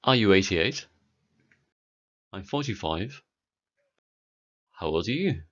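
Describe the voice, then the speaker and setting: British man, forties, beginner English lesson, very slow and deliberate, slightly husky. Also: sits low, lively intonation, fairly bright tone, lo-fi sound. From Britain. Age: 40s. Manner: clear, deliberate delivery for learners. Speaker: English teacher.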